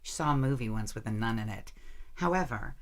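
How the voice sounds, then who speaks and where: A woman's voice: rumbling low, expressive, a little fast. A woman playing a smart, sardonic college student in an audio drama, dry, blunt and teasing. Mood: skeptical, mocking.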